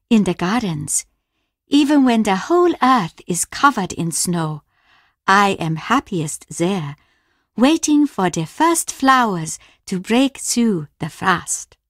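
A woman playing a grieving older Japanese innkeeper mother in a video game, reserved and stern, speaking in a measured, formal way. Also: medium pitch, lively intonation, no echo, studio quality. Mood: serene. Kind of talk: video game character acting.

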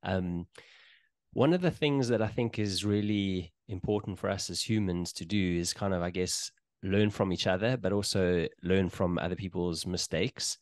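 A man's voice: low-pitched, moderate pace, no echo, studio quality. A man in his forties hosting a casual video interview from a small home room, hesitant and full of fillers and restarts. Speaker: podcast host. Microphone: studio mic on desk, headphones.

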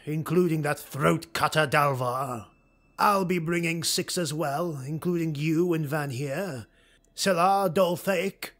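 A man voicing a cold, calculating dark elf nobleman in an audiobook, measured and commanding. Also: a little high, voice pushed up, heavily acted.

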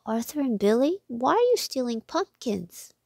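An eager, helpful storybook boy, voiced by a woman reading aloud. The pitch is lifted and the words are emphatic. The sound is dry and close. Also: sing-song, normal speed, gravelly, a little mellow, no echo, heavily acted. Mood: indignant.

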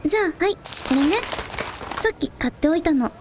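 A woman playing a gentle, kindly young woman, like an older sister, in a radio drama. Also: high voice, lively intonation, a little fast, very noisy. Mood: gentle, caring.

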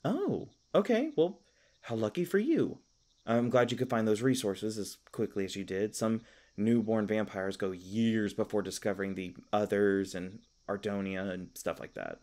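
Casting: male actor for kindly elf park ranger, lowish voice, stammering, self-deprecating, casual fantasy roleplay delivery. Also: animated, moderate pace, darkish tone. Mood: friendly, reassuring. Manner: rambling, stammering, apologetic, self-deprecating humor. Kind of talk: fantasy audio roleplay, casual conversational acting.

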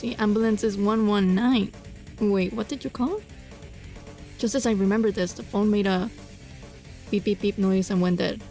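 A woman hosting a gaming video, reading a visual novel aloud expressively and adding casual, giggly reactions. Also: medium pitch. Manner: expressive, dramatized read-aloud with giggly asides. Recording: music behind the voice. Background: game music.

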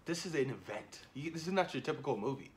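A man in his thirties chatting casually and excitedly to camera, slangy and repeating himself for emphasis, as a reaction video host. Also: mid-range voice, fast talker. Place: small indoor room at home. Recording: noticeable background noise, home camera recording.

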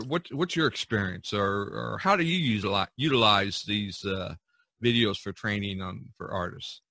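A man hosting a casual podcast conversation, talking in a halting, conversational way. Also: medium pitch, sharp tone, no echo. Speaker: podcast host.

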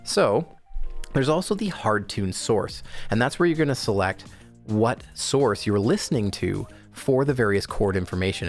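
A man narrating a software tutorial in a casual, informal, explanatory way. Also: fairly low pitch. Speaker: product tutorial presenter.

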